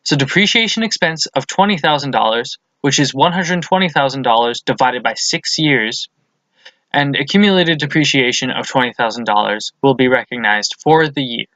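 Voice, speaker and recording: A man's voice: medium pitch, animated, normal speed, sharp tone, no echo, poor audio. A man presents a lesson as scripted instructional narration, explaining things methodically step by step.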